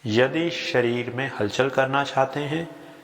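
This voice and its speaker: Male meditation instructor: gentle, very slow delivery of short spaced-out instructions, voice carried in a long reverberant tail. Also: medium pitch. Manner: unhurried, gentle, short phrases with long pauses. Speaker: yoga nidra guide. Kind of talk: guided sleep meditation, slow spoken instructions.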